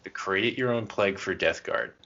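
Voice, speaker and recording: A man's voice: on the low side, moderate pace, pushed and bright, dull audio. A man co-hosting a podcast in casual chat, joking and self-deprecating. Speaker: podcast co-host.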